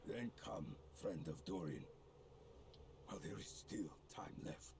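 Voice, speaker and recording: A man voicing a grave, determined warrior in a fantasy game, speaking in a hushed, solemn, measured way. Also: low-pitched, animated, gravelly, noisy background, voice pushed down, heavily acted. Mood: solemn urgency. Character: grave, determined male warrior urging a companion onward.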